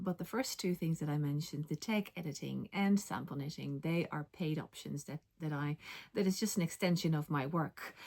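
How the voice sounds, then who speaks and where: A middle-aged woman with a light Dutch accent talks in a relaxed, chatty way, pausing on ums and correcting herself as she goes. Her voice sits fairly low and has a gravelly edge. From Netherlands. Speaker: knitting vlogger and linguist. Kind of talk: casual vlog chat.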